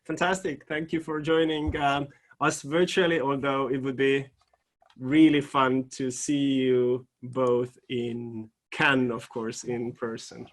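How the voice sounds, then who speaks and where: A man's voice: mid-range voice, flat delivery, slow. A man moderating a conference keynote, speaking in a friendly way with hesitant fillers. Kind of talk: panel moderation.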